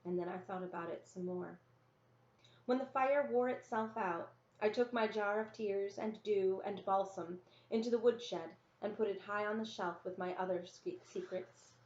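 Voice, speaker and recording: A woman's voice: medium pitch, moderate pace, darkish tone. Woman reading a children's novel, echoey room. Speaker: story reader. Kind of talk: scripted first-person narration, children's novel read-aloud. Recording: roomy, echoey, dull lo-fi sound.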